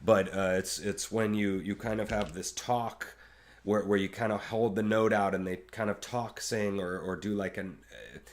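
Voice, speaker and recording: A man with a fairly low voice talks casually about music, rambling and circling back with plenty of "uh" and "um." He sounds like a friendly reaction-video host thinking aloud. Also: fairly even intonation, normal speed. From Kansas City area. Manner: rambling, many fillers and restarts.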